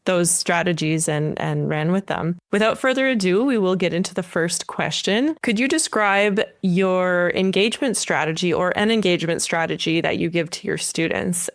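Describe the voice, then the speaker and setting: Casting: woman podcast host, slightly low pitch, dry recording, conversational delivery of scripted intros and questions. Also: no room sound. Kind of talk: prepared podcast hosting, conversational.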